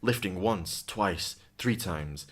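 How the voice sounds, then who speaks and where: Very low male voice, solemn, ominous, dramatic scripted storytelling, narrator. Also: lively intonation, takes it slowly, a bit bright.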